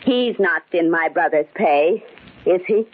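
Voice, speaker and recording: A woman's voice: lowish voice, expressive. Steely, anxious woman, tinny old radio. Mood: coldly triumphant. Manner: dramatic, deliberate delivery. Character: frightened but steely wronged woman narrating her ordeal. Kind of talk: old-time radio thriller acting and first-person narration. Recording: band-limited old radio drama with music.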